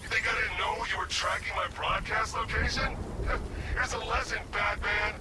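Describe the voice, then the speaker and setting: A man's voice: sits high, little pitch movement, normal speed, heavy background noise, natural voice. An actor playing a cocky, taunting male military villain in a video game, gloating and aggressive. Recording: music behind voice.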